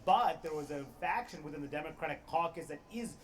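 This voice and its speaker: A man's voice: on the high side, animated, brisk. A man delivering a live television news report as a correspondent. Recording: faint ambient noise, live TV report.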